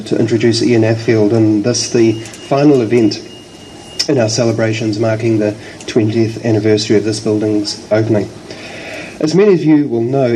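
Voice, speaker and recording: Man, New Zealand accent, event host, formal prepared introduction, mellow tone, slight cold, clear background noise. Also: mid-range voice, rough recording. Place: public library event.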